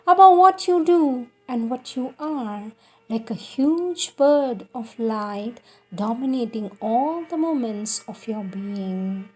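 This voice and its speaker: A woman reads spiritual guidance in a very slow, deliberate delivery. Her voice sits a little high and has a husky, unpolished grain. The reading is solemn and instructive, measured and earnest throughout. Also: darkish tone. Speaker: reader of spiritual teachings. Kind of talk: solemn scripted devotional reading.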